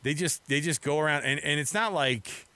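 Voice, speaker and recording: A man's voice: a little high, moderate pace, sharp tone, no echo. A middle-aged American man hosting sports radio in a casual, chatty, rambling way from a studio mic. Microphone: close studio microphone.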